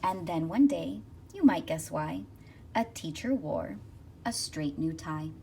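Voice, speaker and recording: A woman with a slightly low voice reads a children's picture book aloud. She phrases the rhyming verse expressively and deliberately. The microphone sits a little way off, with faint noise behind her. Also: animated, vocal fry, mellow. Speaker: school counselor reading aloud. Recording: distant mic with faint noise.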